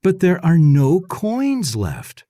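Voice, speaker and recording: A man voicing a practical, determined young girl in a children's storybook, with plain, deliberate phrasing. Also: fairly high pitch, huge pitch swings, dark tone, no room sound, studio quality, voice pushed up, heavily acted. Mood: wishful.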